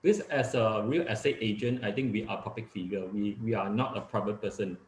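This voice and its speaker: Low-voiced man in echoey room. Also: a little hiss or hum. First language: Cantonese. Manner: halting, many fillers and restarts. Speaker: guest speaker, real estate agent. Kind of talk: casual webinar talk.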